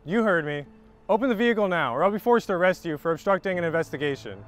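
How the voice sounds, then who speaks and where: A man's voice: high-pitched, expressive, fast, fairly bright tone, a little hiss or hum. A man playing a middle-aged impostor policeman, curt, commanding and bullying, in a scripted short drama. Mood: threatening. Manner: clipped, commanding. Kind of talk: scripted short-film acting. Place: outdoors on street and station platform.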